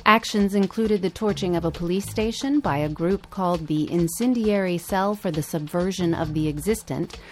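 A woman co-hosting a podcast, reading scripted news narration in a measured, clear delivery. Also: fairly low pitch, a little mellow, slight background noise. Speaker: podcast co-host.

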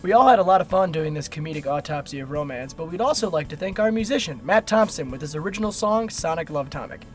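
A man's voice: a little high, expressive, fast, natural voice. A man playing a cheery radio announcer reading parody credits and sponsor spots. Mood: cheery, mocking. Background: guitar music.

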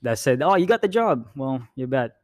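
A man who grew up in the Philippines, co-hosting a podcast in a laid-back, self-deprecating way with many pauses and um's. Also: medium pitch, big pitch swings, a little mellow. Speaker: podcast co-host. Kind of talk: casual storytelling chat.

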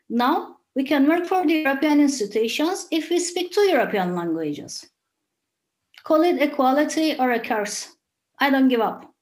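Casting: young woman, high voice, earnest and indignant testimony. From Cyprus. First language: Turkish. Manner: earnest, indignant, rhetorical questions. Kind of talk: scripted personal testimony.

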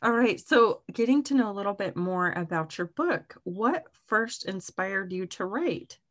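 A woman's voice: medium pitch, expressive, unhurried. A woman in her thirties hosting an interview, upbeat and laughing often in casual chat. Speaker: podcast host.

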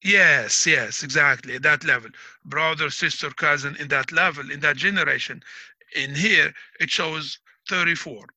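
A middle-aged man speaks with a piercingly bright, tense tone, laying things out as if teaching a class. His delivery is proud and emphatic. The sound is dry and close, with no room echo. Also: on the high side, moderate pace. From Algeria. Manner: explains like a teacher. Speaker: interviewee. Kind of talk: casual interview talk.

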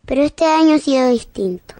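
A woman's voice: high voice, expressive, fairly quick, mellow, dry recording. A woman playing a serious, disillusioned seven-year-old daughter in a radio drama, speaking earnestly and wistfully. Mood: subdued, sincere.

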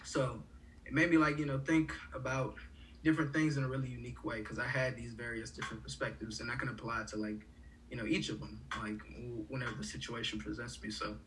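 Young guy from haiti rambling casually, lots of "like" and restarts, bright voice, kinda far from the mic. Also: medium pitch, little pitch movement, fairly quick, a little hiss or hum. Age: young adult. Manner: rambling, many fillers and restarts. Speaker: college student guest. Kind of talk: casual conversation.

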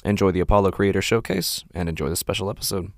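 Deep, creaky-voiced man reading podcast announcements. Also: level tone, fast talker, dry recording, studio quality. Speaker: podcast creator and host. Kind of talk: scripted promo and disclaimer read.

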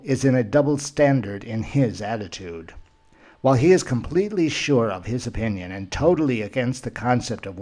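Low-voiced man narrating a podcast. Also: darkish tone. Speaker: podcast host. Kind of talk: scripted first-person narration.

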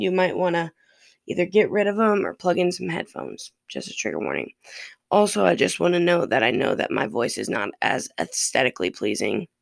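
A raspy, fairly deep-voiced person from Ohio, hosting a podcast in a loose, profane, rambling monologue. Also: dry recording. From Ohio, USA. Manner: loose and profane, drifting between topics. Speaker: podcast host.

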